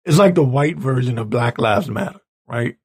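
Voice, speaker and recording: Raspy man ranting, gravelly and halting. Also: mid-range voice, animated. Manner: halting, exasperated, blunt. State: hoarse, clearing his throat. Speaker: political commentator reading tweets and articles. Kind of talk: informal opinion rant.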